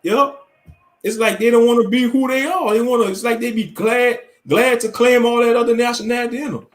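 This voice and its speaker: A man's voice: extremely high pitch, steady tone, fairly quick. A man hosting a casual livestream chat into a mic with a pop filter, talking bluntly and swearing freely. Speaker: stream host. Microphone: studio mic with pop filter.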